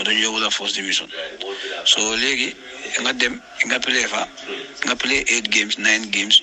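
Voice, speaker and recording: A man's voice: fairly low pitch, poor audio. A man with a Gambian accent in a panel discussion, speaking emphatically and repeating words for stress.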